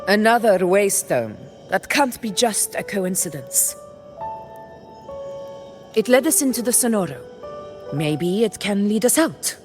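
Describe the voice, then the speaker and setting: A woman's voice: mid-range voice, very animated, a little slow, long pauses. An actress playing a composed, decisive young female warrior in a video game. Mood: calm, resolute. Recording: music behind.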